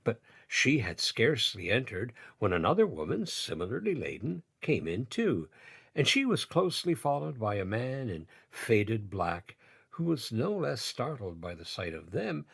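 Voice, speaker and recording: A man gives a theatrical, literary narration in a low, husky voice. He is deliberate and dramatic, moving slowly with wide swings of pitch. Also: big pitch swings, a bit bright.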